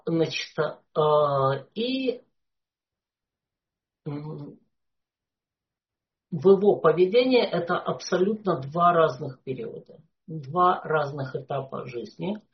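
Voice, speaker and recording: A lecturer speaks very slowly and deliberately at a middling pitch, stopping often to hunt for words with long "uh" fillers. The recording is dull and lo-fi. The delivery is unscripted and explanatory. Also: animated. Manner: hesitant, frequent "uh" fillers.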